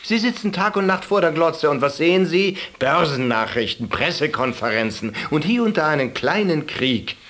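A man in a drama telling a patient a story, lively and cajoling. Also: on the high side, normal speed, hardly any pauses. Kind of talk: stage drama acting.

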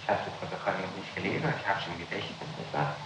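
Male interrogator, low register, halting formal delivery, reverberant room on old reel recording. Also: animated. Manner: halting, stumbling when reading aloud. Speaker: police or judicial interrogator. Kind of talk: formal interrogation, reading documents aloud. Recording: echoey, very noisy archival interrogation tape.

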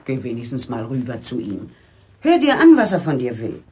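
A woman's voice: a bass voice, huge pitch swings, moderate pace, warm tone, breathy edge, full character voice. An actress playing a nagging, worried middle-aged mother in a German radio play, fussing and reproachful in manner.

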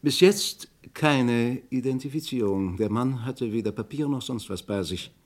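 An actor playing a probing, drily skeptical police commissioner in a radio crime drama, questioning in a clipped, businesslike way. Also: male voice, mid-range voice, animated, unhurried. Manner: clipped, matter-of-fact questioning.

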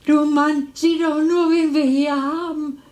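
A woman's voice: high-pitched, little pitch movement, measured pace, a little mellow. An actress playing a lonely, motherly elderly neighbour in radio drama dialogue, with a fussing, hospitable manner. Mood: delighted, emotional. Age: older.

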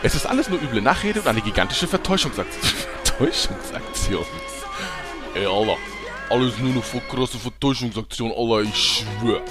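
An actor playing an excitable, self-serving man in a parody fantasy audio drama, exclaiming as he talks. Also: medium pitch, moderate pace, over music, close to an everyday voice. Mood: protesting.

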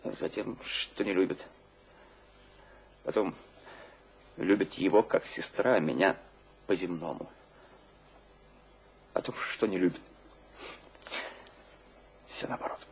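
An actor playing an anguished, lovestruck young poet in a radio drama, brooding and theatrical. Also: male voice, low voice, slow pace, vocal fry, pauses often, a little hiss or hum, heavily acted. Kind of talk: stage-trained radio drama acting.

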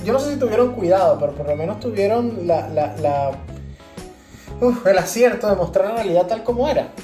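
A woman's voice: medium pitch, expressive, brisk, warm tone, roomy sound, soft background music. A woman with a Venezuelan accent chatting casually as a podcast co-host, explaining things through examples.

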